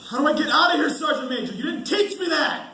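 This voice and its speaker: A man's voice: quick pace, heavily acted. A man playing a young army soldier, weary and defiant, in an amateur stage play. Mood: desperate, defiant. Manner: swings from low mumbling to strained shouting. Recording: echoey stage recording, faint background noise.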